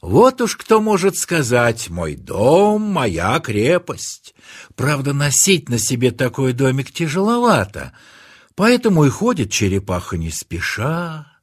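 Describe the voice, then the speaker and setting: A man narrating a children's nature tale as an expressive storyteller, confiding and theatrical. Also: medium pitch, a little slow, slightly rough.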